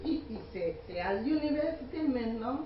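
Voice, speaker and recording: A student whose first language is Yoruba, speaking slowly and deliberately in a classroom, pausing between words. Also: medium pitch, lively intonation, muffled recording, over a noisy crowd. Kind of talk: classroom question and discussion. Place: university lecture room. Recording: roomy, distant, noisy classroom audio.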